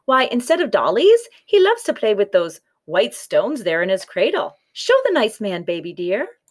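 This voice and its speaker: A woman's voice: medium pitch, sing-song, normal speed. A woman voicing a sweet, sly, coaxing giant's wife in a storybook tale. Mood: doting. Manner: sugary, coaxing.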